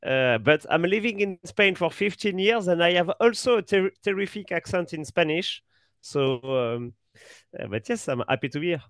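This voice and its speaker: A middle-aged French man with a French accent, a guest in an interview, speaking hesitantly with many pauses and fillers. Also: high voice, expressive, fairly bright tone, no room sound. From France. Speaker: app co-founder, guest. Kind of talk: casual interview chat.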